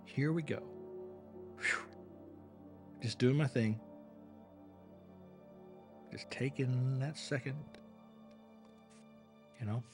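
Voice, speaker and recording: A man's voice: medium pitch, extremely slow, long pauses, faint music behind. A man who works as an acting and performance coach, chatting casually with viewers on a live stream. He sounds tired, his voice is wearing thin, and he rambles earnestly, restarting his words as he goes.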